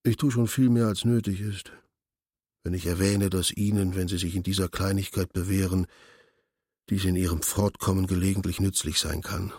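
Radio drama role: pedantic, commanding bureaucrat, lowish voice, very dry close sound. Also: male voice, steady tone, normal speed, a little mellow, slightly rough, dry recording. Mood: commanding, dismissive. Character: curt, imperious male senior official giving orders. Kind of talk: German radio drama acting.

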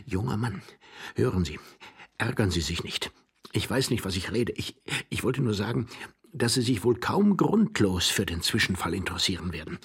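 Man playing a flustered, pleading, husky gentleman. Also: on the low side, big pitch swings, moderate pace, heavily acted. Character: timid, desperate, fussy gentleman, flustered and pleading. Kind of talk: audiobook character voice.

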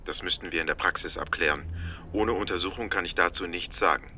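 A cool, probing male doctor, with a deep voice and a very bright, forceful edge. He questions in a clipped clinical way, as in a radio play, with faint noise behind. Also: a little fast. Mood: cool, matter-of-fact. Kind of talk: naturalistic radio drama dialogue.

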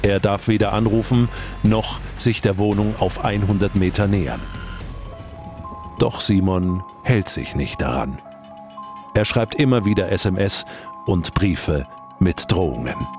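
A man's voice: on the low side, unhurried. A man reading scripted true-crime narration as the narrator of a podcast. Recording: music under the voice. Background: music.

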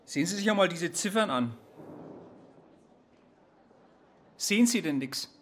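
A man's voice: sits high, expressive, fast, a bit bright, a little hiss or hum. A man playing an exasperated, choleric court bailiff in a satirical radio play, blustering and impatient.

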